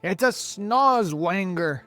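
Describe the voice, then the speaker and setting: A man's voice: very high voice, animated, slow pace, soft background music, voice pushed up, full character voice. A man voicing a blustering, alarmist male chief of police in a storybook, bossy and exclamatory. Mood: alarmed. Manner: loud, bossy, panicky exclamations. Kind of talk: storybook character acting.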